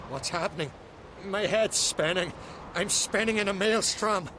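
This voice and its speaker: A man's voice: high-pitched, measured pace, background noise. An actor voicing a confused, shaken male nobleman. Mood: bewildered. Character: dazed male nobleman, freed from a haunting.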